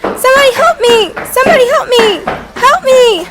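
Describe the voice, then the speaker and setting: Shrill, screaming terrified young woman. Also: animated, normal speed. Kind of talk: old-time radio melodrama acting. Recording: loud dramatic music behind.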